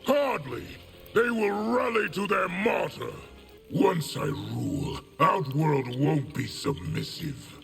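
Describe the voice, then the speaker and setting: A man playing a proud, militant war leader in a video game, forceful and defiant with a gravelly voice. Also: on the high side, expressive, slow pace, soft background music, full character voice. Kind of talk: dramatic video game acting.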